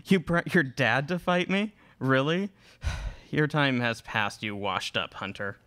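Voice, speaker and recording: A man's voice: mid-range voice, slow, pushed and bright, no room sound. A young man playing an arrogant, taunting vampire villain in a live radio play. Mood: gloating. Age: young adult. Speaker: student radio play performer. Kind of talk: live radio theatre acting. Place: small classroom studio. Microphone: stand microphone, close.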